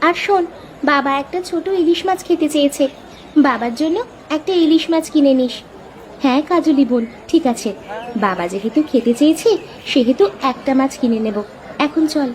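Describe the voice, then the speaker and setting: A woman's voice: sits high, moderate pace, darkish tone, slight background noise, natural voice. A woman voicing a caring, dutiful young village daughter in an earnest, simple way for a moral-story cartoon.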